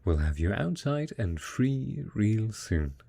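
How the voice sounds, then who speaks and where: Husky, slow-talking devoted husband played by a man. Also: fairly low pitch, very animated, exaggerated voice. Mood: hopeful, reassuring. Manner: gentle, coaxing, encouraging, full of endearments. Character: devoted, tender, hopeful husband caring for ghoul spouse after apocalypse. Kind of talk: roleplay audio drama, intimate monologue addressed to listener.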